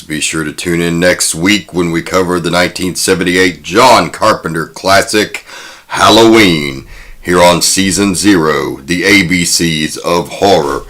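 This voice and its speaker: A man hosting a podcast and reading its closing sign-off in a brisk, announcer-like way. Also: low voice, fairly bright tone. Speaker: host. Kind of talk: show outro.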